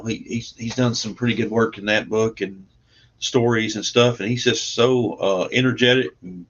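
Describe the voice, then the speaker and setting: A man speaking as a guest in a casual interview, in a halting, plain-spoken way. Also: lowish voice, vocal fry, muffled recording. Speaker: guest comic book creator.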